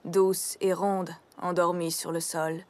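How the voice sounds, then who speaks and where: A woman voicing an impassive, literal-minded young female pony in a French cartoon dub, with a deadpan monotone delivery. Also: fairly low pitch, takes it slowly. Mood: flat, impassive.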